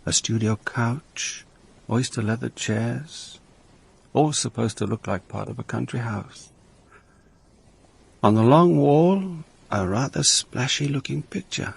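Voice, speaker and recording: A brooding, observant police inspector in a radio drama. His voice is slightly husky and he speaks in deliberate, clipped phrases. He is brusque, with a wry streak. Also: mid-range voice, exaggerated voice. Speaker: lead actor and narrator. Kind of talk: radio drama acting and first-person narration.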